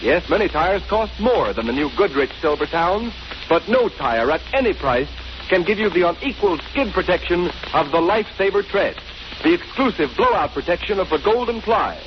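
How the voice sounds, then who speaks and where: An American male announcer reads in 1930s radio advertising style. His high voice is emphatic and polished, pitched to sell. The old recording sounds dull, with faint noise. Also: sing-song, moderate pace.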